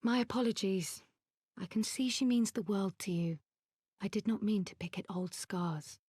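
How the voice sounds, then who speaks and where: A woman's voice: mid-range voice, moderate pace, darkish tone, slightly rough, dry recording, clean studio sound, natural voice. An actress playing a poised, loyal noblewoman in a fantasy game, speaking formally and earnestly. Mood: apologetic. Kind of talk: fantasy video game cutscene acting.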